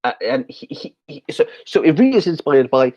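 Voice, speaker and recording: A man's voice: on the high side, very animated, brisk, a little mellow. A man hosting a casual livestream Q&A about books, chatty and rambling with lots of fillers and restarts, a little tipsy. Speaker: book channel host. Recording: slightly dull lo-fi stream audio.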